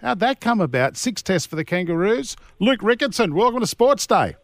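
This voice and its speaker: An Australian man hosts a sports radio show, brisk and upbeat as he reads through the links. His voice sits a little high. The studio sound is dry and close. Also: very animated, dry recording.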